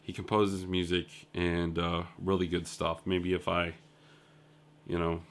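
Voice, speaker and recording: Rambling low-voiced male vlogger. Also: level tone, measured pace. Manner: rambling storytelling with filler words and asides. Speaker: indie game developer. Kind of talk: casual video diary recap.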